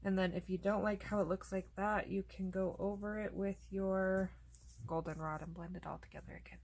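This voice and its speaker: Gravelly-voiced woman talking through coloring. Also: mid-range voice, a little fast. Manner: chatty, relaxed, thinking aloud while working. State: losing her voice. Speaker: coloring channel host. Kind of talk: casual coloring tutorial narration.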